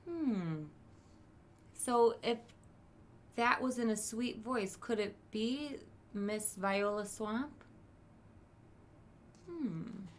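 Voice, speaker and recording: A woman teacher reading a storybook aloud to children, expressive and emphatic, with questioning asides to her listeners. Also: on the high side, extremely slow, pauses often.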